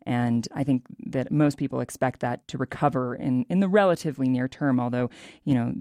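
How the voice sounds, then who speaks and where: A woman's voice: rumbling low, a little fast, mellow, dry recording. A woman with an American accent speaking as a radio reporter, explaining the news off the cuff in an interview.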